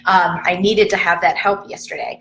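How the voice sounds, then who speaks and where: A woman's voice: lowish voice, flat delivery, moderate pace, fairly bright tone, roomy sound, slight background noise, rough recording. A woman from the Chicago area chatting casually and rambling on a live stream at home, joking about herself and answering viewer comments. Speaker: fitness vlogger hosting a live stream. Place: at home, indoors.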